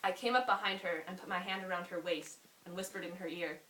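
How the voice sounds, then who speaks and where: A young woman reading a story aloud to a friend in an amused, expressive way, often breaking off for asides. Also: fairly low pitch, quick pace. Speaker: reader. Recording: roomy, distant mic with faint noise.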